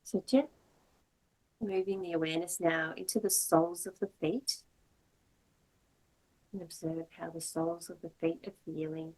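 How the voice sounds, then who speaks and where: Low, husky woman guiding meditation. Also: fairly even intonation, darkish tone, poor audio. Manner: slow, measured, with long pauses between short phrases. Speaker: yoga teacher. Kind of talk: guided meditation instruction.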